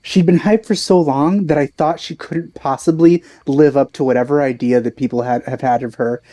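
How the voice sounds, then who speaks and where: A man's voice: fairly high pitch, fairly even intonation, normal speed, dark tone. A man giving casual, informal, rambling gameplay reactions as a let's play host, gushing as he talks.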